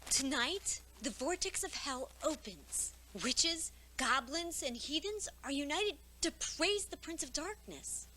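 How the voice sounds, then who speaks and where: A woman's voice: sits high, animated, slow pace, hardly any pauses, bright, forceful tone, slight background noise, full character voice. A woman playing a fanatical religious zealot who preaches fire and brimstone in a horror film. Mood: ominous zeal. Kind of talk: found-footage horror film acting.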